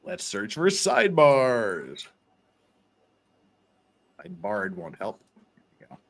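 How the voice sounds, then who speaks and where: Jokey man with animated, swinging delivery. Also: medium pitch, takes it slowly. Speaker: livestream host. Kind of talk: casual livestream banter and dramatic reading.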